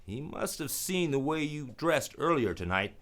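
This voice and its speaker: A man playing a laconic, wry private detective in a radio play, terse and deadpan, with music under him. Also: on the high side, moderate pace. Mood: calm, firm. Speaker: lead actor and narrator. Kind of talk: hardboiled detective radio play, narration and dialogue.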